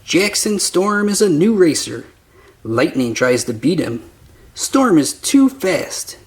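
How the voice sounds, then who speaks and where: A man reading a children's picture book aloud as narrator, with simple, deliberate phrasing for young listeners. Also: a little high, big pitch swings. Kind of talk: slow scripted children's story narration.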